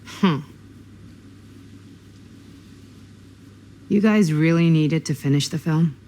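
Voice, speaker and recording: An actress playing a worn-down young American big sister in a video game drama, talking in a wry, sardonic way. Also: female voice, low voice, moderate pace, dark tone, lightly acted. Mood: dry bargaining. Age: young adult.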